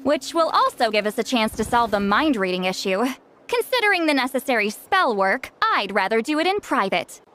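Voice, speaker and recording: A woman's voice: on the high side, big pitch swings, moderate pace, fairly bright tone. A woman voicing an aloof, socially awkward shaman in an anime game, youthful-sounding and easily flustered. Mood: casual, aloof. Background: faint music.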